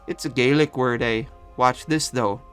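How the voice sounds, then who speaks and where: A man voicing a gruff, scornful, foul-mouthed Irishman with a slight brogue in a narrated story. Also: medium pitch, a little slow, soft background music, lightly acted. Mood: amused. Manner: gruff, scoffing, cursing.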